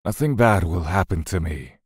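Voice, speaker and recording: Grave, deep-voiced stern god of death. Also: male voice, expressive, moderate pace, breathy edge, no room sound, studio quality, exaggerated voice. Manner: stiff, curt, commanding. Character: stern, dutiful god of death, elder-seeming brother. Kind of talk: dramatic fantasy audio drama acting.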